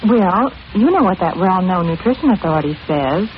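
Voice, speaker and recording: An actress playing a knowing, advising friend in a 1940s radio commercial. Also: female voice, fairly low pitch, lively intonation, moderate pace, close to an everyday voice. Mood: earnest, advising. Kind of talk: 1940s radio advertisement acting. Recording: muffled, hissy old radio recording.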